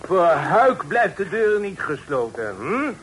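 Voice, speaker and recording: An actor playing an arrogant, tipsy rich suitor in a radio play, mocking and insolent. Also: male voice, high voice, big pitch swings, slow, a bit bright, heavily acted. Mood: sneering. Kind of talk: Dutch radio play acting. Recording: slightly noisy old radio play recording.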